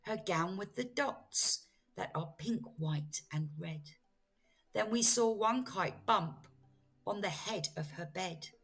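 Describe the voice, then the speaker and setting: A woman reading a children's storybook aloud as a teacher, with expressive, dramatic phrasing. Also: medium pitch, takes it slowly, slightly rough. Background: music.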